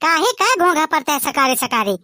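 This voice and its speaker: A man with a Bagheli accent voicing a nagging, sharp-tongued village wife who curses and scolds. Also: very high voice, lively intonation, brisk, noisy background, pitched above the performer's own voice. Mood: complaining. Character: shrill scolding village wife running for office.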